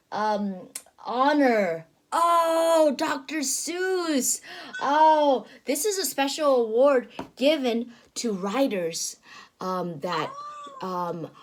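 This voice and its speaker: A woman reading a picture book aloud to a young child, animated and gasping, stopping often to ask the child questions. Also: high voice, slight background noise.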